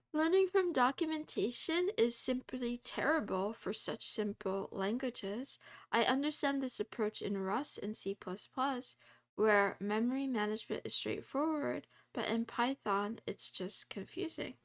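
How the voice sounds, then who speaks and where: A woman's voice: a little high, lively intonation, unhurried. A woman live-streaming while she codes, chatting casually and rambling with lots of fillers, talking to her viewers and laughing often.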